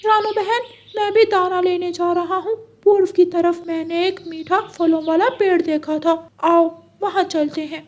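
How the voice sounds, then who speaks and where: An eager cartoon parrot fellow played by a woman, with a very high voice. He is a concerned, helpful friend in a children's animal story. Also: steady tone, a little slow, few pauses.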